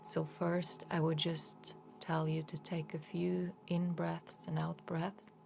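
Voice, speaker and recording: Woman doing a guided meditation, super slow n soothing, pretty even tone, music playing behind her. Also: fairly low pitch, gravelly. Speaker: energy healer leading guided meditation.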